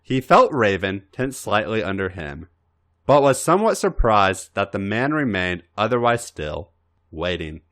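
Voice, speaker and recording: Male narrator, lowish voice, hugely varied intonation, dramatized podcast story reading. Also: very animated, a little slow, a bit bright. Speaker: podcast host reading fan fiction.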